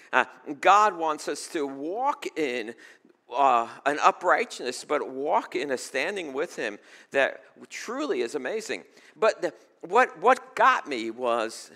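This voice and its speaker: New York pastor preaching in a hall. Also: male voice, a little high. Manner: rises to loud fervent preaching then drops to easy chat. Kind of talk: sermon. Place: church stage before a seated congregation. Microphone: stage mic at a pulpit. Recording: long hall reverb.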